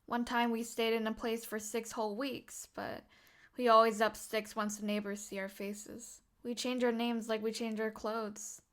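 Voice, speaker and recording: A woman's voice: a little high, little pitch movement, normal speed, lightly acted. A woman playing a tough, precocious, foul-mouthed young vigilante girl in a comic dub, blunt and cocky. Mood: wistful narration. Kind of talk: comic book dub acting.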